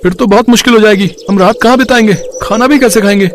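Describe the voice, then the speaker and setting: A man's voice: high-pitched, fast talker, slight background noise, lightly acted. A man voicing a middle-aged city tourist who anxiously asks about a place to stay. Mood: worried.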